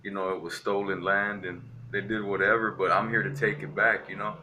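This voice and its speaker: A man's voice: on the low side, level tone, fairly quick, bright, forceful tone, a little hiss or hum, rough recording. A young man from Texas ranting defiantly to camera, rambling with lots of filler words. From Texas, USA. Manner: rambling, defiant, heavy on "you know" fillers. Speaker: vlogger. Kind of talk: casual ranting monologue to camera.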